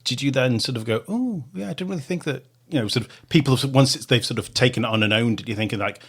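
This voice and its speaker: A chatty man hosts a relaxed radio interview, his voice sitting at a middling pitch. He keeps the talk loose, with plenty of fillers and repeated starts. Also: fast, slightly rough. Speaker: radio podcast host. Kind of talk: casual interview chat.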